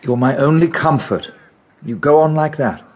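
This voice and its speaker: A man's voice: fairly high pitch, normal speed, a little mellow, natural voice. An actor playing a fretful, possessive older English father in a radio drama, terse and grumbling. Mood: fretful, reproachful. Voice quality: gravelly, husky.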